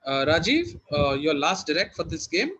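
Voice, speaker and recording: A man's voice: on the high side, very animated, moderate pace, sharp tone, breathy edge, muffled recording. A man working as a quizmaster, reading out questions and hosting in a conversational way.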